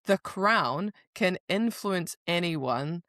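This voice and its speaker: A woman's voice: lowish voice, animated, drawn-out words, fairly bright tone, dry recording. Woman chatting casually, close dry sound. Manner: wry, joking asides. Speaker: podcast co-host. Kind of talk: casual book discussion.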